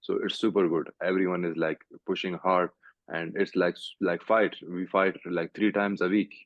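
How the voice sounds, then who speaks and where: Man from Pakistan, very low voice, phone-like dry sound, hesitant English, many fillers. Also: flat delivery, no room sound. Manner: halting English, many fillers like "like, you know". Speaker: guest fighter. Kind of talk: casual interview over video call. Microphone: video call. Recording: tinny call audio, dry.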